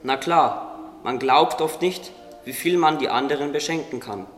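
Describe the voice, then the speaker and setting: A man's voice: fairly high pitch, normal speed, close to an everyday voice. Guy playing a wise flying creature, encouraging n earnest, radio play, echoey w some hiss. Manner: earnest, instructive. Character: wise, encouraging songbird-like butterfly, modest friend of tree. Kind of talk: religious radio play acting. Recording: echoey, long reverb, noisy ambience.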